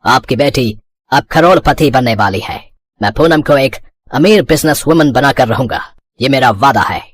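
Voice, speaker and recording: A man's voice: mid-range voice, expressive, moderate pace, lightly acted. An actor playing a polite, earnest foreign businessman in a cartoon moral story, hesitant and mixing in English phrases. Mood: confident, encouraging.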